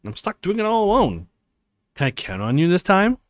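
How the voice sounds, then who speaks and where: A man reads as a young woman in a visual novel, hurt and coolly reproachful. The phrases are clipped, the pitch swings widely, and the sound is dry. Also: wildly swooping pitch, moderate pace, no echo.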